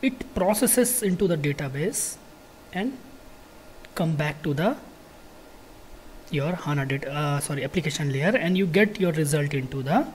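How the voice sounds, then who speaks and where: Software trainer with noisy audio. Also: medium pitch, expressive. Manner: repetitive, halting explanation with frequent check words. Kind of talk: informal technical lecture with screen demonstration.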